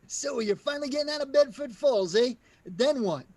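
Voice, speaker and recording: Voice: high voice, expressive, moderate pace, darkish tone, no echo. A performer playing a folksy, nosy small-town male taxi driver in 1940s radio drama style. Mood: curious, amused.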